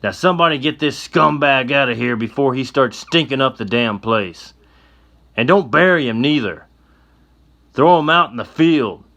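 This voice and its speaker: A man voicing a crooked, blustering old-West sheriff who barks his words loudly and with scorn. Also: mid-range voice, expressive, moderate pace, voice pushed up. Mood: scornful. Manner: loud, gruff, scornful barking.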